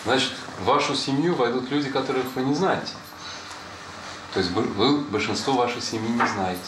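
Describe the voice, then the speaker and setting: A man's voice: medium pitch, a little fast. A man whose first language is Portuguese, a former student talking casually with a class of schoolchildren, patiently explaining things and asking them questions back. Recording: echoey room with background noise.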